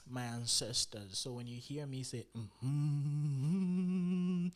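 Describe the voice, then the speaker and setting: A man from Zambia, talking through his trades in a loose, filler-heavy commentary that breaks into excited exclamations. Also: medium pitch, slow. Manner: loose and chatty, full of fillers and restarts; bursts into loud excited exclamations. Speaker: meme coin trader showing his trades. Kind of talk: live screen-share trading commentary.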